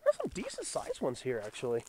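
Raspy man, mid-pitched, getting over a cold, casual nature vlogger talking to his camera outdoors, background noise clearly audible. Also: a little fast. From Southern California. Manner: musing, relaxed botanical naming. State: getting over a head cold, deeper and raspier than usual. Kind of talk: casual walking commentary. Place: outdoors in a mountain forest before dawn.

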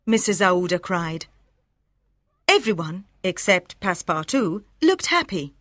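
Female storybook narrator, animated pitch, crisp enunciation, dry close studio sound. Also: unhurried, dry recording. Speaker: audiobook narrator.

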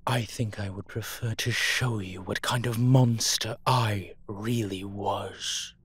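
A man playing a cold, ancient, menacing monster in an audio drama, drawing out his threats slowly. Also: on the low side, fairly even intonation, a bit bright, slightly rough, pitched below the performer's own voice, heavily acted. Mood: cold menace. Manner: slow, drawn-out, threatening delivery.

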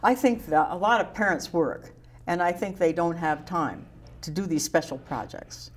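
An older woman hosting a studio interview, talking slowly with a low voice and halting restarts, on a lapel mic. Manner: slow, repeats words, halting restarts. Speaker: talk show host. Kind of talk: casual interview chat.

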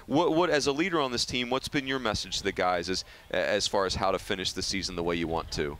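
A male broadcast analyst asks long, chatty questions at a quick clip. His low voice has a bright, forceful edge, and a faint hum of ballpark ambience sits behind it. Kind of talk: sports broadcast interview.

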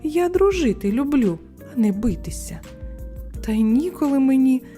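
A woman's voice: sits high, animated, moderate pace, mellow, soft background music. A woman voicing a friendly, easygoing male hare in a children's fairy tale. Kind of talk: storybook character voice.

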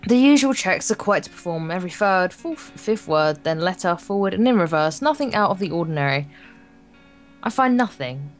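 Lowish-voiced woman reading a game aloud. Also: expressive, moderate pace, faint music behind. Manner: informal, jokey, stumbling over the text. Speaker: Let's Play host reading a visual novel. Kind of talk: reading game text aloud with casual banter.

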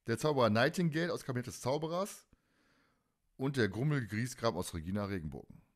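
A man with a mid-pitched voice co-hosts a fan podcast. He talks in a casual, colloquial manner and likes to joke as he goes. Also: lively intonation, a little fast. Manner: colloquial, jokey. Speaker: podcast co-host.

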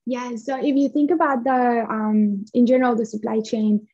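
Woman from Colombia, fairly high voice, earnest, muffled video call audio. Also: mellow. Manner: earnest, talks with her hands. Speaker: guest, coffee company founder. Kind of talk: remote interview. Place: small bright room at home.

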